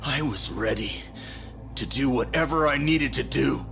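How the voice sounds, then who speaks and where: An actor playing a desperate, foul-mouthed, loyal young yakuza underling in a dramatic video game cutscene. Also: male voice, medium pitch, measured pace, creaky, fairly bright tone, faint music behind. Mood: bitter, defiant. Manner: swearing, stammering under pressure.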